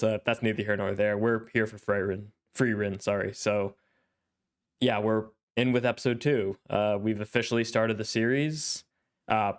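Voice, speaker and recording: Young male anime host, stuffy-nosed, smooth low-ish voice, rambling casual commentary. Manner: thoughtful, rambling, with frequent fillers. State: congested, clearing his throat. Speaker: anime reaction channel host.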